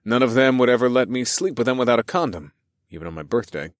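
A man's voice: mid-range voice, lively intonation, fast, no room sound, studio quality, close to an everyday voice. A man voicing a confident, teasing male lover in audiobook dialogue.

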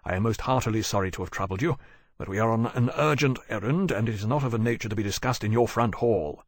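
A man voicing a stern officer who speaks low, sharp and urgent. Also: fast, dry recording. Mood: polite. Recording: dry close mic.

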